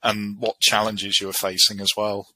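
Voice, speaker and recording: A male podcast host with a very bright, sharp tone and a slightly low pitch, recorded dry and close. He asks encouraging questions with plenty of fillers and small restarts. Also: level tone, normal speed, dry recording, rough recording. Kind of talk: conversational podcast interview.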